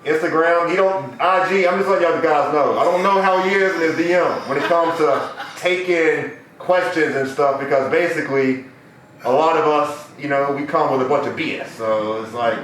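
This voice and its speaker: A man's voice: on the high side, normal speed, a bit bright, slight background noise. A man hosting a podcast interview, talking in loose, joking, slangy banter. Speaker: podcast host. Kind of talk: casual interview chat. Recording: very echoey room.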